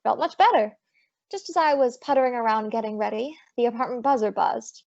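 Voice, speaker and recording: A woman's voice: on the high side, fairly quick, gravelly, darkish tone. A young woman playing a spirited female private detective, breezy and wry, in old-time radio detective style. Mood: wry narration. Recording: dull lo-fi audio, very dry.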